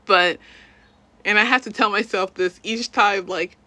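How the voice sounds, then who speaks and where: A woman's voice: medium pitch, unhurried, pushed and bright, no room sound. A young woman, a self-taught cover singer and dancer, chatting casually on a livestream in a rambling, self-deprecating way.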